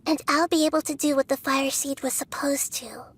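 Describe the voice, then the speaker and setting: Childlike deity for a game, played by a woman: very high and soft, gentle, measured, sincere, quietly composed. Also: fairly even intonation, natural voice. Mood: gentle resolve. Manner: measured, formal, earnest phrasing. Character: small childlike goddess, gentle, wise, earnest and composed. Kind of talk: animated game voice acting.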